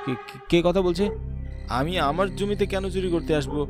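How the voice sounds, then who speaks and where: A man's voice: high-pitched, fairly quick, natural voice. A man playing a poor, earnest village farmer in a cartoon drama, plain-spoken and prone to nervous stammering. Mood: alarmed. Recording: music behind the voice.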